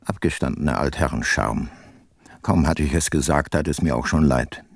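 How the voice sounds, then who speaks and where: A man's voice: rumbling low, moderate pace, vocal fry, very noisy. A man acting as an older detective who narrates his own case in a dry, ironic way in a radio play. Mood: wry, rueful. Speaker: first-person narrator.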